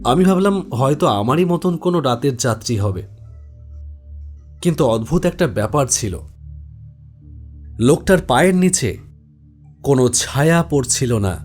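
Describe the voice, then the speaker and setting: A man's voice: mid-range voice, darkish tone. Male storyteller, mid-range voice, scripted horror narration with background music. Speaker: narrator telling a ghost story in first person.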